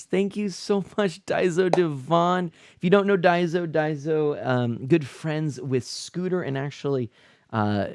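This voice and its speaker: A man's voice: mid-range voice, darkish tone, smooth, no room sound, clean studio sound. A man hosting his own live stream, chatting in an upbeat, casual way with frequent laughs and fillers. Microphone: streaming microphone.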